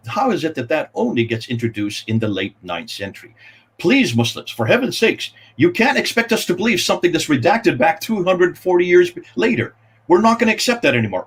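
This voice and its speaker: A man's voice: fairly high pitch, breathy edge. A man speaking as a guest in a religious debate, arguing in an emphatic, insistent way. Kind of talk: argumentative religious debate talk.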